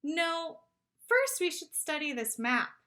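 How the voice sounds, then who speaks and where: A woman's voice: high voice, very animated, slow pace, bright, forceful tone, pitched above the performer's own voice, exaggerated voice. A woman voicing a cautious, bookish young boy in a storybook, earnest and emphatic.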